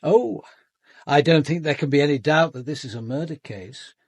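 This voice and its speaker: An actor playing a composed, probing senior police detective in a British radio drama, speaking in a measured, formal way. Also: male voice, a little high, slightly rough, no room sound.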